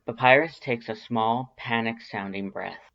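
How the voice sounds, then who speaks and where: A man's voice: mid-range voice, normal speed, creaky, a bit bright, highs cut off. A man narrating scripted fiction in a dramatic storytelling style.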